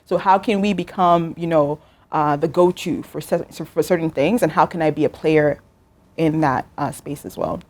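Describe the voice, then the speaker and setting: Low-voiced casual woman guest. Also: moderate pace, darkish tone. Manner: casual, hesitant with fillers, affirming. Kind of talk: interview conversation.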